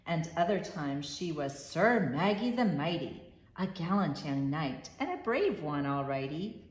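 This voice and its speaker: A woman reading a rhyming children's storybook aloud as storyteller, expressive and measured in a rhythmic way. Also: low-pitched, roomy sound, rough recording.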